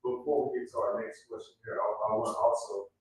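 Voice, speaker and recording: A man moderating a live panel, giving unscripted remarks in halting, deliberate phrases. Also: on the low side, fairly even intonation, darkish tone. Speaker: panel moderator, community advocate. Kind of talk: unscripted public event remarks. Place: room at a live community event. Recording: echoey room, distant mic, background noise.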